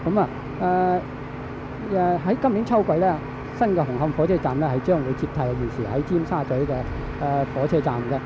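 A man with a Hong Kong accent giving live radio news commentary in a halting way, with frequent drawn-out fillers. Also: fairly high pitch. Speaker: radio reporter. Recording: dull, noisy archival radio broadcast.

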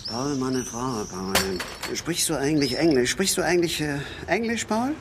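An actor in a naturalistic German radio drama playing a boastful, dreamy working-class drinker who rambles and insists. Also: male voice, mid-range voice, lively intonation, normal speed, heavy background noise, lightly acted. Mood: wistful, dreamy. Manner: rambling, insistent, repeats himself.

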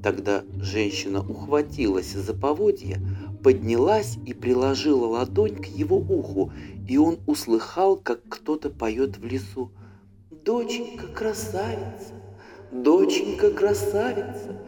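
A male audiobook narrator tells a children's fairy tale expressively, with animated intonation and a medium-pitched voice. Faint music plays behind him. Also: unhurried.

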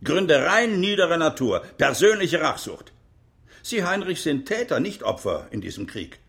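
An actor playing a stern, accusing prosecutor in a radio courtroom drama, speaking formally with cutting emphasis. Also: male voice, a little high, big pitch swings, normal speed, vocal fry, a bit bright, heavily acted.

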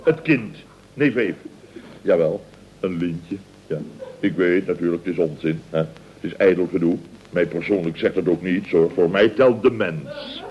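An actor playing a pompous, excitable, sentimental middle-aged contractor boss in radio comedy, blustering, stammering and repeating himself. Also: male voice, sits low, unhurried. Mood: sentimental pride. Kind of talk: 1970s Dutch radio comedy acting. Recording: dull vintage radio recording.

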